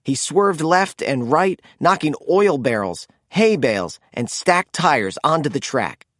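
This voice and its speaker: A man narrating a children's storybook in an expressive, animated read-aloud style. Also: a little high, measured pace, dry recording, studio quality.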